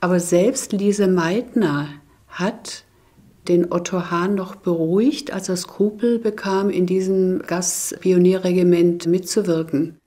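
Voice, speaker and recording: A woman's voice: fairly low pitch, steady tone, slow, mellow. A woman speaking reflectively and carefully in an interview as an academic expert. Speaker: chemistry professor, interviewee.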